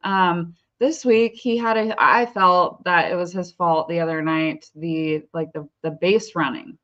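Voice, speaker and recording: Sharp, bright woman on slightly dull audio. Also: lowish voice, steady tone, unhurried. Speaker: sports podcast co-host. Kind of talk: casual baseball chat.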